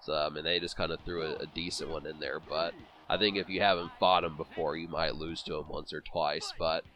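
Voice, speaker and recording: A man's voice: extremely low pitch, steady tone, fairly quick, fairly bright tone, a little hiss or hum. A man with a cold, sniffling and congested, rambling through casual commentary on a boxing video game with many fillers and restarts. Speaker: let's play commentator.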